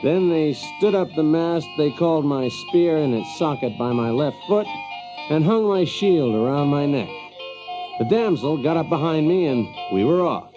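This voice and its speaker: A man's voice: fairly high pitch, expressive, normal speed, over music, lightly acted, poor audio. An actor playing a wry, exasperated Yankee man in an audio drama, folksy and hesitant as he talks. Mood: wry storytelling. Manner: folksy, hesitant, chuckling, sardonic. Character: wry, exasperated Yankee man telling his tale in a medieval land. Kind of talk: audio drama acting and first-person storytelling.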